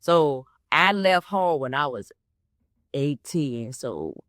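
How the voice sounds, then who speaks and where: A woman's voice: low-pitched, animated, slow pace, a bit bright, no echo, clean studio sound. A woman in her twenties, a life coach hosting a solo motivational podcast, talking casually and often checking in with "right?" and "okay?". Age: 20s. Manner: repeats herself to stress points.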